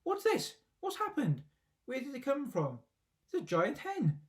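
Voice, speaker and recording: A man voicing a small, excitable father who gets frantically worked up, in a storybook reading. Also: extremely high pitch, unhurried, distant mic, voice pushed up, exaggerated voice. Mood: alarmed. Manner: frantic, exclaiming.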